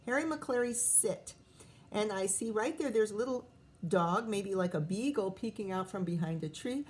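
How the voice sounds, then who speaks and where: Higher-voiced, unhurried woman reading to children. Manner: expressive, prompting young listeners with questions. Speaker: teacher reading aloud. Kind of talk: children's picture book read-aloud with asides.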